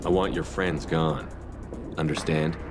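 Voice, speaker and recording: A steely commander in a video game. The voice sits low, with a dry, measured, deadpan delivery and quiet menace. Music runs clearly behind it. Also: male voice.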